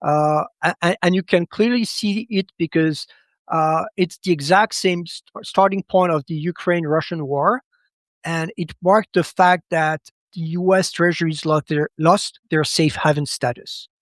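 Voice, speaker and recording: A fairly high-voiced man who speaks as a gives his analysis as a podcast guest. He talks haltingly, doubling back on words as he looks for the right phrase. The sound is dry and close. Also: fairly bright tone, no echo. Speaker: podcast guest, macro commentator. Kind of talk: conversational analysis.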